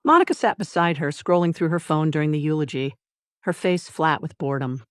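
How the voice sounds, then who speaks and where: Audiobook narrator for domestic drama, medium pitch, deliberate and measured delivery, very dry recording. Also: fairly bright tone, no room sound.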